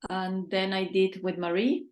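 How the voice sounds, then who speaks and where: A woman's voice: medium pitch, fairly even intonation, a little slow, darkish tone, reverberant. A woman in her fifties from Chile, speaking earnestly as a guest on a video-call interview, with small restarts. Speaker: feng shui consultant, guest. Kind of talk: casual interview answers.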